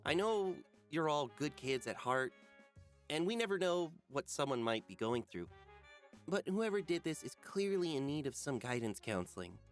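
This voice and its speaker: A man playing an earnest, ponderous schoolteacher who speaks with measured, dramatic pauses in a visual novel game. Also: a little high, very animated, soft background music. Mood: grave. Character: earnest, ponderous male schoolteacher addressing his class.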